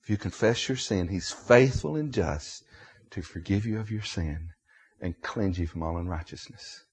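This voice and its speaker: An American man in his fifties with a husky voice, preaching an emotional sermon, dramatically swinging between hushed confession and loud cries. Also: low voice, normal speed. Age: 50s.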